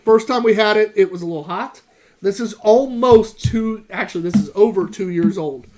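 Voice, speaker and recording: Man, high voice, quick chatty beer podcast co-host, eager, filler-heavy. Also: darkish tone. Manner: enthusiastic, talks fast with many fillers. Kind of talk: casual chat.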